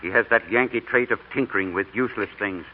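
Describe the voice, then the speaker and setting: A man's voice: fairly low pitch, quick pace, narrow, tinny audio, slight background noise, natural voice. An actor playing a stern, scolding middle-aged American father in a 1940s radio drama. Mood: grumbling, softening. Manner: scolding, gruff. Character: grumpy, stern middle-aged father, exasperated by his son. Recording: reverberant, thin old radio broadcast.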